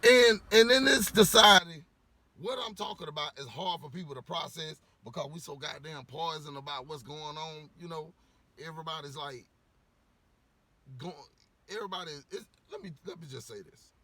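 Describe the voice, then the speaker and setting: A man ranting casually to camera, a little hoarse from losing his voice, rambling with lots of "you know" and swearing bluntly. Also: fairly high pitch, normal speed, pushed and bright. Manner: rambling, repeats words, frequent "you know". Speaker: vlogger giving opinions.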